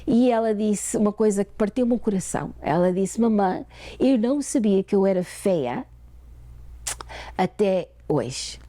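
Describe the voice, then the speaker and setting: A middle-aged woman from Portugal with a European Portuguese accent tinged by Canada, telling a personal story as a guest, unhurried and full of fillers. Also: medium pitch, lively intonation, mellow, dry recording. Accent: European Portuguese with a Canadian touch. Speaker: guest author. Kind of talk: personal storytelling in a talk show interview.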